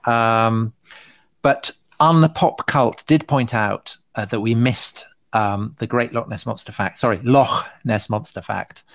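A man's voice: mid-range voice, fairly even intonation, measured pace. A middle-aged man co-hosting a podcast in casual chat, hesitant, circling back on his words with dry understatement. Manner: hesitant, repeats himself, dryly understated. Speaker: podcast co-host.

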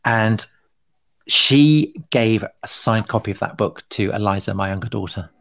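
A man's voice: fairly low pitch, unhurried, fairly bright tone. A middle-aged man co-hosting a podcast in casual chat, hesitant, circling back on his words with dry understatement. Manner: hesitant, repeats himself, dryly understated. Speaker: podcast co-host.

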